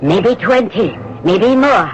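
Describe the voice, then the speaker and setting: A woman's voice: mid-range voice, lively intonation, takes it slowly. An actress playing an earnest French Resistance woman who rescues children, in 1980s radio drama style. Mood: tense, watchful. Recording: dull, very noisy old radio broadcast.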